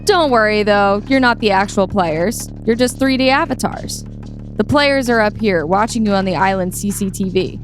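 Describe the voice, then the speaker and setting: A woman's voice: medium pitch, moderate pace, faint music behind, lightly acted. A woman playing a cocky, callous rich teenage boy gamer in an audio play. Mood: smug, offhand. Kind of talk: audio play table read.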